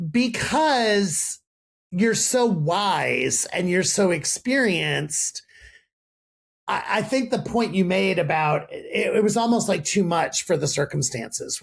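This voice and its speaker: Male podcast host, gravelly and husky, lively conversational delivery. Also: fairly high pitch. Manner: animated, warm affirmations and laughs. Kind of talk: casual interview chat.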